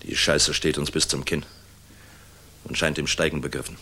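Deep, wry, world-weary general. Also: male voice, steady tone, normal speed, pushed and bright, husky, exaggerated voice. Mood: weary brooding. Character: weary, wry middle-aged air force general, fatherly, worldly. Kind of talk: 1960s German radio drama acting.

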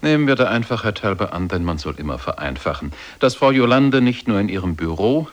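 A man's voice: on the low side, big pitch swings, a little fast. An actor playing a pedantic mock-logical lecturer in a radio play, emphatic in his wording.

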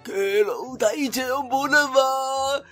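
Eccentric high-pitched old Cantonese trickster. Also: male voice, slow, fairly bright tone, soft background music, full character voice. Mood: teasing. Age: older. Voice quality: weird affected old-man voice. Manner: exclaiming, rambling, mock-panicked, chuckling. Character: eccentric cackling skinny old trickster man, lecherous and playful.

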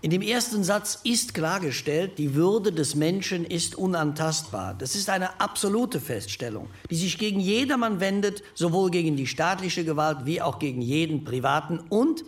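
A man's voice: high voice, expressive, normal speed, hardly any pauses. An actor playing a resolute, solemn male delegate making formal declarations in a radio play. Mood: firm, solemn. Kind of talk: radio play, re-enacted debate.

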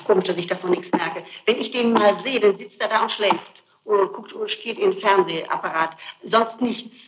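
A woman's voice: medium pitch, moderate pace. A woman being questioned as a suspect, answering emphatically and insistently and repeating her phrases. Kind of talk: spontaneous interrogation testimony. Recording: dull, noisy, echoey archival tape.

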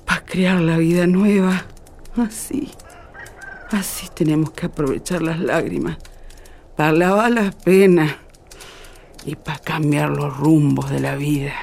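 A woman's voice: low voice, slow, creaky, long pauses, darkish tone, a little hiss or hum, heavily acted. A woman playing a sorrowful, wise old grandmother in a radio drama, plaintive and folksy, with a rural northwestern Argentine accent. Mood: tender, wise.

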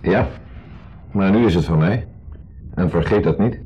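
An actor playing an insecure, jealous husband in a 1970s radio drama, mock-polite and sarcastic in a clipped way. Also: male voice, deep voice, a little slow, husky, full character voice. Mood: cold, possessive. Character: insecure, jealous husband, needling host masking hostility. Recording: muffled 1970s radio recording, music behind.

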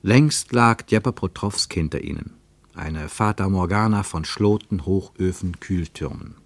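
An actor as the narrator of a radio travelogue play, reading literary prose in a measured, lyrical, declamatory way. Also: male voice, low-pitched, lively intonation, dry recording, full character voice.

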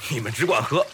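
A man's voice: medium pitch, fairly bright tone, slight background noise. A man playing a wistful young scholar turned fisherman in a period audio drama, courteous and poetic in his phrasing.

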